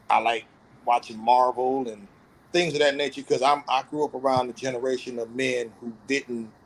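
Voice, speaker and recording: Thirties man, podcast panelist: earnest, self-reflective, medium pitch, candid casual talk. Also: slight background noise, rough recording. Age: 30s. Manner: earnest, self-reflective, opens up about feelings.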